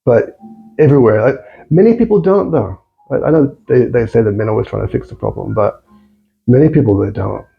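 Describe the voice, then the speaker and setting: A man's voice: medium pitch, expressive, brisk, vocal fry, narrow, tinny audio, soft background music. A man with an Australian accent, a podcast guest talking thoughtfully and repeating words as he searches for phrasing. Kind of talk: reflective casual conversation.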